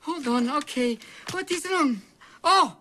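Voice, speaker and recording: An actor playing a nervous, easily flustered Russian obstetrician with a Russian accent, speaking broken, muddled English in a comedy film. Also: male voice, medium pitch, animated, moderate pace, very noisy, natural voice. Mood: panicked. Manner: broken English with muddled words and misplaced formality.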